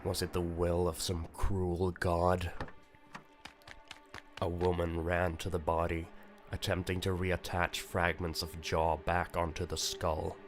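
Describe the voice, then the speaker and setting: Male narrator, low voice, deliberate slow pacing, grave dramatic reading over a clear music bed. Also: monotone. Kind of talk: dramatic scripted narration of a visual novel.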